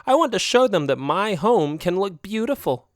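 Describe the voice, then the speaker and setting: A man's voice: high-pitched, sing-song, moderate pace, dry recording, clean studio sound, voice pushed up. A man voicing a pushy, dramatic young female cat who whines and sobs to get her way. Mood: coaxing. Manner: whiny, tearful, manipulative.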